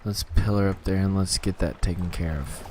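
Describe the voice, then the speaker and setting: A man with a lowish voice, a little hoarse and scratchy, chatting casually over his gameplay. He talks himself through each task in an easygoing way, and faint clicks can be heard behind him. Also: steady tone, moderate pace, a little mellow. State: slightly hoarse throat. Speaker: gaming channel host.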